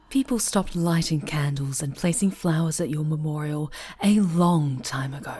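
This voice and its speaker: A sinister, obsessive dark angel played by a woman speaks in a low, unhurried voice. She slips in and out of breathy whispers, tender and menacing at once, in an intimate ASMR drama with clear music underneath. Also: full character voice. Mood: cold, insistent. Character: possessive, obsessive dark-angel superheroine turned sinister villain.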